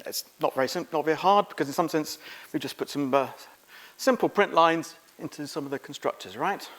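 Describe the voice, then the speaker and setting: A middle-aged man speaking as a conference presenter, giving an informal, halting technical lecture. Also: a little high, a bit bright. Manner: informal, halting, quizzing the audience. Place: conference room, on stage.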